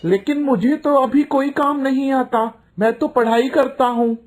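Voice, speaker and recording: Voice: high voice, steady tone, measured pace, a little mellow, soft background music. A performer voicing an earnest, naive young male student in a cartoon, speaking politely and pleadingly. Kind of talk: Hindi cartoon voice acting.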